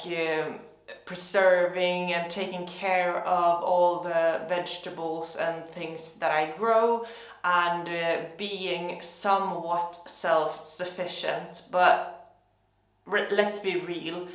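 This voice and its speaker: A young woman with a Swedish accent, Swedish her first language, hosting a gardening channel in relaxed chat, thoughtful and hesitant with many fillers. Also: fairly low pitch, gravelly. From Sweden. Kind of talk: casual talk to camera. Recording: echoey room.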